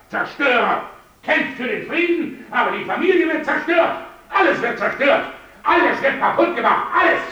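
An actor playing an angry foster parent from a mill household in a radio play, scornful and accusing. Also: male voice, extremely high pitch, sharp tone, reverberant, heavily acted, rough recording.

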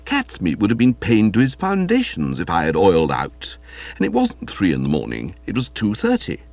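A man's voice: a little high, huge pitch swings, normal speed, no room sound, dull audio, pitched above the performer's own voice, heavily acted. Man playing an airy, haughty young English toff, very sing-song. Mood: haughty, cool. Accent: upper-class English. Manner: airy, scornful, breezily self-satisfied. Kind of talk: comic audiobook character voice.

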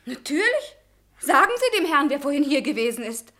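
A headstrong, protective young woman in a radio drama. Her voice is fairly high and very bright, with a forthright, sharp-tongued, slightly mocking delivery. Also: sing-song, brisk. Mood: indignant, accusing. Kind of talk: radio play acting.

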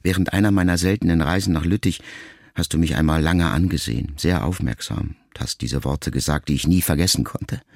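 Deep, gravelly, grieving son. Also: male voice, fairly quick, no echo, clean studio sound, full character voice. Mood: sober, resolute. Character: grieving ageing son addressing his dying mother, wounded, reflective. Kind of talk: intimate literary radio drama monologue.

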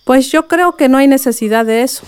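A woman's voice: high-pitched, a little fast, smooth, in a large hall, close to an everyday voice. A woman playing a fond but suspicious middle-aged village mother in a radio soap opera.

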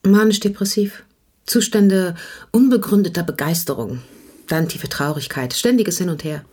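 Weary, frank wife, very breathy. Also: female voice, fairly low pitch, moderate pace, darkish tone. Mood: measured, serious. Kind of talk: radio play acting.

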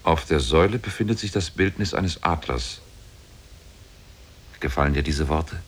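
Very deep, husky demon sorcerer. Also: male voice, moderate pace. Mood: lovestruck. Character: mighty demon sorcerer, lovestruck captor of a woman. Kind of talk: 1950s German radio drama acting. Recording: old 1950s radio play on cassette.

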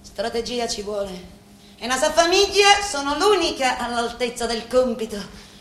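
Bitter Sicilian mafia woman, scheming, theatrical stage drama, highish voice, very reverberant room. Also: very animated. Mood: furious, commanding. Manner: theatrical, deliberate, with sudden swells. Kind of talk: dramatic stage monologue delivery. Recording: very echoey room, faint background noise.

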